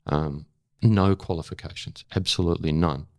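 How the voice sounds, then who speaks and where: Older Australian male interviewee, very low voice, dry close sound, candid and emphatic, thoughtful halting delivery. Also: fairly even intonation, a little mellow, dry recording. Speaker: interview guest, former journalist. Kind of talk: reflective interview talk.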